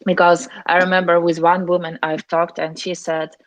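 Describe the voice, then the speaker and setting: A woman's voice: fairly low pitch, level tone, normal speed, no echo, lo-fi sound. A young woman, answering interview questions as a charity communications officer, earnest and careful, often restarting her phrases. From Ukraine.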